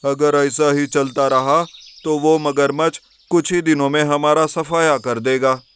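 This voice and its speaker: An earnest, fretful elephant from an animal storybook tale, acted out by a man. The voice is a bit raised in pitch, smooth and resonant. It exclaims like a worried member of the herd. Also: little pitch movement, measured pace, lightly acted. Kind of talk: animated animal fable dialogue.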